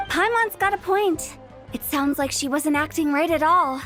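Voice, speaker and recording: Mischievous spooky teen girl, really high, playful n dramatic, game voice, bit of music. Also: moderate pace, fairly bright tone. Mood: impish speculation. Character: impish, spooky-minded, theatrical teenage girl.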